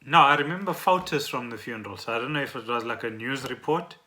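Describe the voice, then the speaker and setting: Very bright, sharp South African man. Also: medium pitch, fast. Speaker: podcast co-host. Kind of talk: casual questioning chat.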